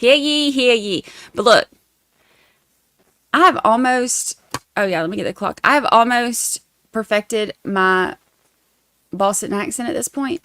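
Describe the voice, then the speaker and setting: A woman's voice: a little high, expressive, measured pace, long pauses, fairly bright tone, no echo. A woman with a Southern Georgia accent hosting a casual livestream, rambling and self-deprecating as she chats to her viewers. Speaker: livestream host covering trials.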